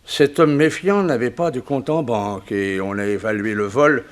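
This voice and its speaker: An actor playing a composed, formal examining magistrate recounting a case in a 1960s French radio drama. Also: male voice, mid-range voice, moderate pace, lightly acted. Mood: sober recounting.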